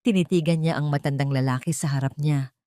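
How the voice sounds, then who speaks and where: A woman's voice: sits low, steady tone, brisk, mellow, no echo, clean studio sound. A woman reading a scripted romantic story aloud as a dramatic narrator.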